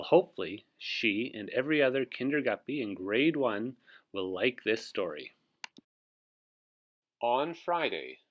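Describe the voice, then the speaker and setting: A man who works as a teacher reads a picture book aloud to young children, with asides to his listeners. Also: fairly low pitch.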